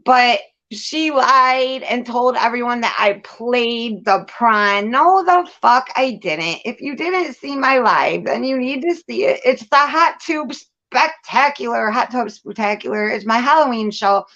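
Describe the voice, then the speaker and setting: Woman, high pitch, candid venting, blunt, sweary, emphatic repetition, muffled lo-fi sound. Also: animated, a little slow, few pauses, fairly bright tone. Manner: blunt, profane, emphatic, repeats phrases for stress. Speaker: host of a live commentary show.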